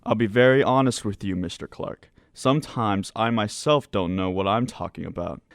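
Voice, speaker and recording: A man playing a learned, wry psychiatrist in an amateur radio drama, speaking formally and with measured candour. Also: lowish voice, vocal fry, dry recording, clean studio sound. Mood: wry, firm.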